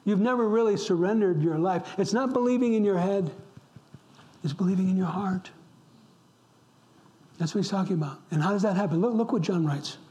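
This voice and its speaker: A man's voice: sits high, fairly quick, pauses often, dark tone. A middle-aged man from upstate New York preaching a sermon as pastor, impassioned, repeating words for emphasis and dropping to a whisper at times. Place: church.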